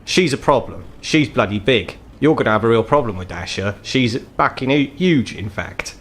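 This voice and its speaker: A man's voice: medium pitch, expressive, moderate pace, natural voice. Man playing an embittered, sweary British troll. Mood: bitter. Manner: blunt, sweary, world-weary. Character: embittered ageing crippled troll, gruff underworld fixer, male.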